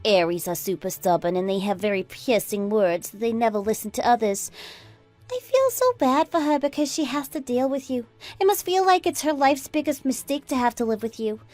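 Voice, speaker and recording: A woman playing a smug, catty sister-in-law in a radio drama, preachy and boastful in an animated way. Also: fairly high pitch, brisk, no room sound, soft background music, natural voice. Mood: smug, gloating. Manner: animated, preachy, boastful, swooping into indignant questions. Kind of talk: scripted radio drama dialogue.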